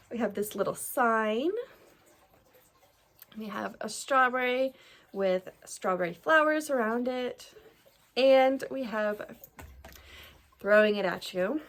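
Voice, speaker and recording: A young woman hosting a casual craft vlog, her nose a little stuffed up from allergies. Her voice sits a bit high, and she talks in a chatty, rambling way with frequent ums and little laughs. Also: a bit bright. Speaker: cross-stitch designer hosting a flosstube. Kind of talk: casual show-and-tell chat to camera.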